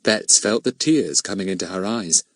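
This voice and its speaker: A man's voice: deep voice, moderate pace, no echo. A man reading a children's story as scripted audiobook narration.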